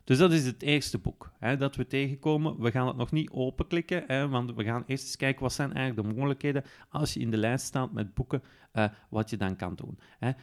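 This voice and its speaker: A man's voice: mid-range voice, a little fast, few pauses. Flemish man giving a casual walkthrough. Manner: informal, explanatory, often tagging sentences with "hè". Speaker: podcast presenter demonstrating an app. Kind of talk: casual step-by-step software walkthrough.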